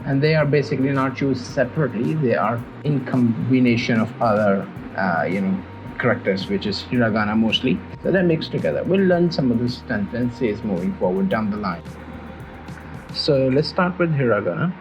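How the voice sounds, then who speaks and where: A man's voice: medium pitch, animated, normal speed, music in the background, poor audio. A man from Pakistan whose first languages are Urdu and Punjabi, earnestly teaching a casual beginners' video lesson and often repeating himself.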